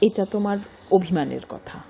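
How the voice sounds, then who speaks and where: A woman with an Indian Bengali accent playing a soft-hearted, dutiful young homemaker in an audio drama, speaking earnestly and plaintively. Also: fairly low pitch, expressive, rapid-fire, vocal fry, music in the background. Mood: apologetic, wistful. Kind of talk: amateur audio drama acting.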